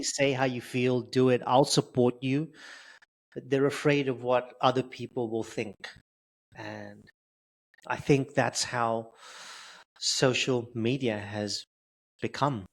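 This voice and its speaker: A man's voice: mid-range voice, steady tone, takes it slowly, long pauses, poor audio. A man talking as an interview guest who stutters, with repeated sounds and blocks, speaking openly and with humor. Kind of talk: conversational interview.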